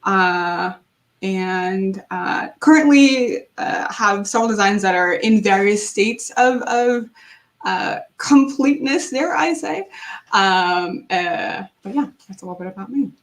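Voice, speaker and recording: Woman from New Jersey as panel moderator, relaxed and filler-heavy, on a video call. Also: mid-range voice, measured pace. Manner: loose, filler-heavy, frequent ums. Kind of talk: casual panel chat.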